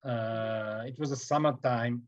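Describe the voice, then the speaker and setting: A man's voice: medium pitch, fairly even intonation. Serbian-accented man on a muffled call. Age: 40s. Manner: halting, many fillers and restarts, laughs often. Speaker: guest sculptor. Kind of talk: video call interview. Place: home workroom with shelves. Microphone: video call. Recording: muffled video call audio.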